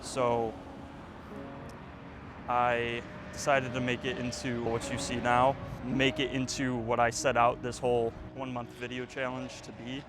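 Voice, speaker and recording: A man's voice: mid-range voice, level tone, unhurried, over music. A man in his twenties vlogging outdoors, rambling and reflective, with lots of fillers. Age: 20s. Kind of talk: casual reflective vlog. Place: outdoors, windy.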